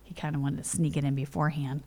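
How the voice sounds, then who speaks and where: A woman's voice: deep voice, flat delivery, fast, warm tone. A woman in her forties from California, telling personal stories in a chatty interview full of "you know" and "like". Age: 40s. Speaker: interview guest. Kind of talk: casual storytelling. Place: home.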